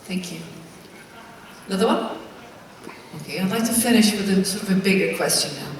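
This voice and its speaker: Polite brisk moderator, press conference, roomy hall, distant mic. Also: medium pitch, slight background noise. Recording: echoey hall, far from the mic.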